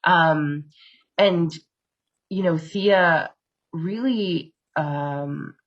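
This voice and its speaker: A woman funder speaking on a panel in a measured way, with many fillers and pauses, over a video call. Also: on the low side, muffled recording. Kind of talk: webinar panel talk.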